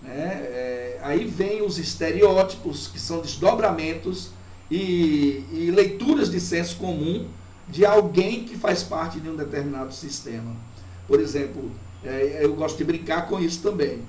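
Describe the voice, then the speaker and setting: High-voiced Bahian professor lecturing, roomy sound. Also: male voice, a little slow, a little mellow. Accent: Bahian Brazilian Portuguese. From Bahia, Brazil. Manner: didactic, reflective, frequent "né" tag questions. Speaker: university professor. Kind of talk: academic lecture. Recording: roomy, distant mic with faint noise.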